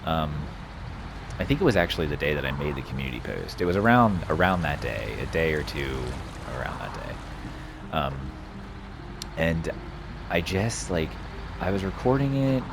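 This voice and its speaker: Man, extremely low voice, casual gaming host, hesitant rambling delivery, noisy background with vehicle rumble. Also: gravelly. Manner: rambling, hesitant, repeats himself. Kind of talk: casual off-the-cuff game commentary.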